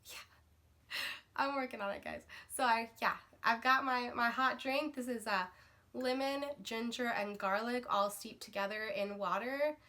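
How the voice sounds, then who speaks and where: Woman rambling to camera about books while getting over a cold, lots of likes and ums, bright voice. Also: fairly high pitch, measured pace. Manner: rambling, full of fillers and restarts. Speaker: book vlogger. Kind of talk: casual chat to camera.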